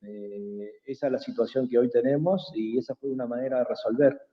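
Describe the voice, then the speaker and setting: Quechua-native man, unhurried, halting; tinny call audio with a long reverb tail. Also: mid-range voice. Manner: hesitant, many pauses and fillers. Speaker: invited speaker. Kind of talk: slow reflective talk in an online workshop. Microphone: video call.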